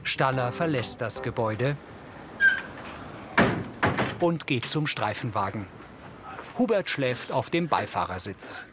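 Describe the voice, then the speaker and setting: A man's voice: medium pitch, expressive, slow pace, pauses often, loud music behind the voice. A man reading scripted audio description, narrating the on-screen action of a television drama.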